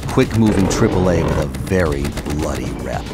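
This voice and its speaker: An actor playing a battle-hardened fighter pilot, narrating his war in a terse, intense, measured way. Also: rumbling low, animated. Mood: grim, intense. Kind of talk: dramatic first-person audio drama narration. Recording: loud music bed under the voice.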